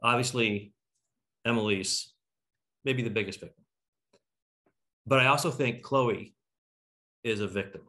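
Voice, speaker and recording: Low-voiced middle-aged man moderating. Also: measured pace, long pauses, pushed and bright. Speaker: talkback host. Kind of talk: casual panel moderating. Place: indoor room on a video call. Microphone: video call computer microphone.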